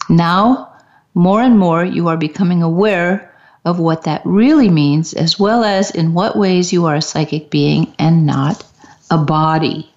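Calm woman hosting, lowish voice. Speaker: host. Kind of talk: spiritual talk show hosting. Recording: dull lo-fi sound.

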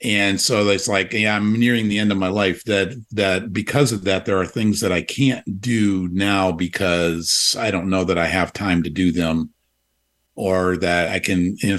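A man in his sixties speaks in a fairly low voice, thinking aloud as he goes. He often restarts his sentences and leans on fillers while hosting a relaxed radio conversation. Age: 60s. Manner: reflective, halting, many restarts and fillers. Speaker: radio show host. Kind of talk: casual conversation.

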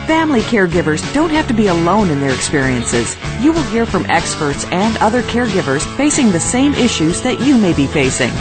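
Woman doing a scripted radio show intro, really animated pitch, music behind her. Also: a little fast, hardly any pauses. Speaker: show announcer.

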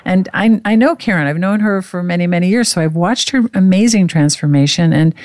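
A woman's voice: fairly low pitch, brisk, darkish tone, no echo, clean studio sound. A woman hosting an interview show, talking in an effusive, gushing way as she introduces and chats with her guest. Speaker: show host.

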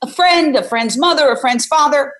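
High-voiced, energetic woman in her sixties. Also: normal speed. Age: 60s. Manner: emphatic, highly energetic, asks and answers own questions. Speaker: host; former news reporter and animal rights activist. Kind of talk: energetic interview and advocacy talk.

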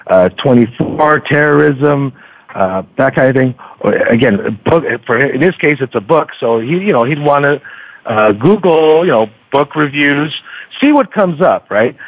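A man, a guest on an interview show, explaining and giving advice conversationally, haltingly, with many uhs. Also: mid-range voice, dry recording. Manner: halting, frequent uhs and repeated words. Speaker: guest, book podcaster. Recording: dull lo-fi radio audio.

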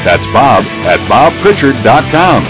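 A man's voice: very animated, a little slow. Punchy male radio ad announcer. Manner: punchy, sales pitch. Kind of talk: scripted radio ad read. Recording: read over loud music.

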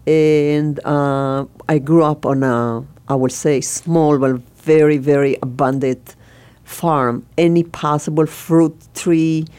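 Low, dark-voiced Israeli woman. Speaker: celebrity chef guest. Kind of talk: radio interview.

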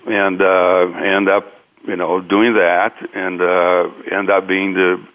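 Deep-voiced Brazilian man on a phone line. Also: little pitch movement. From Minas Gerais, Brazil. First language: Portuguese. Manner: halting, hesitant phrasing. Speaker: interview guest, businessman. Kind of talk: interview conversation, recounting own career.

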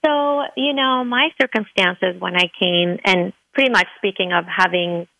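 A woman's voice: mid-range voice, unhurried, gravelly, pushed and bright, no echo, poor audio. A middle-aged woman from Lebanon, Arabic her first language, telling her story earnestly as an interview guest. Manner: earnest storytelling, many fillers. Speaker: guest, dentist. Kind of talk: interview conversation.

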